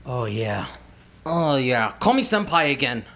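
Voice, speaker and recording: A crude, lecherous young hacker, played by a man. His voice swings widely in pitch as he leers and then begs. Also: sing-song, measured pace. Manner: crude, pleading, laughing.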